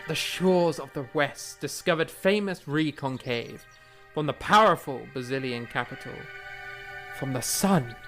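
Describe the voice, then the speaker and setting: A woman's voice: sits low, a little slow, fairly bright tone, soft background music. Woman playing a hammy young composer. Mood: declaiming. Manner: theatrical, hammy, breaks into pirate play. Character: playful young male composer, boyish and theatrical. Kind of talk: fan audio drama acting.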